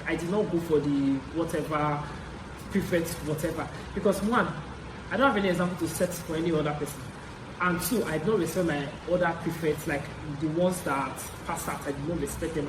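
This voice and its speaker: A young adult man with a Nigerian accent tells stories casually, in an animated way, repeating phrases for emphasis as a vlogger. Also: a little high. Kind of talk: casual story-time chat. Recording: roomy echo, clear background noise.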